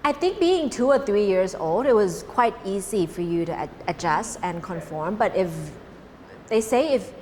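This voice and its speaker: A woman's voice: medium pitch, expressive, moderate pace, darkish tone. A Thai woman whose first language is Cantonese, answering interview questions earnestly and often restarting her sentences. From Thailand. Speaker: interview guest. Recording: reverberant room with faint noise.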